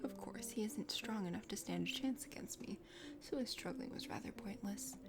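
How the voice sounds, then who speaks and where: A woman voicing a chatty, condescending dragon sister from a forest kingdom in a video game, speaking formally in a soft ASMR whisper. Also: medium pitch, moderate pace, creaky, pitched below the performer's own voice, close to an everyday voice. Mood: smug, unworried. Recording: music behind the voice.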